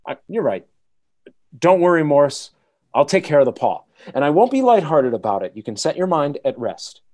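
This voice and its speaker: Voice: medium pitch, very animated, normal speed, darkish tone, lightly acted. An actor in a radio play voicing a mild, credulous older father and husband. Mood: reassuring. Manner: earnest, mild.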